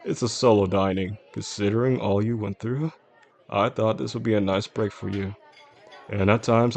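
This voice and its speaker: Charming boss on a dinner date, played by a male actor: low, creaky voice, soft-spoken, flirtatious, intimate audio drama. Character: charming attentive boss courting his employee, quietly possessive man. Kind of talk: intimate audio roleplay, addressing the listener. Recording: faint restaurant crowd behind the voice.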